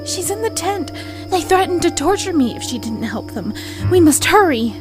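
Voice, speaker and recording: A woman's voice: very high-pitched, big pitch swings, moderate pace, music in the background. A woman playing a frightened, injured young rabbit scientist's aide in a science-fiction audio drama, pleading and emotional.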